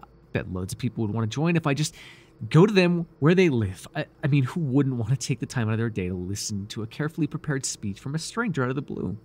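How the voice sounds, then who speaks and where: A man voicing a devoted, nervy, lovestruck cultist in an ASMR roleplay, earnest and halting, shifting between grand and flustered delivery. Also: mid-range voice, animated, a little mellow, close to an everyday voice. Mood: earnest, scheming. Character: devoted, awkward young male cultist, zealous, lovestruck, nervy.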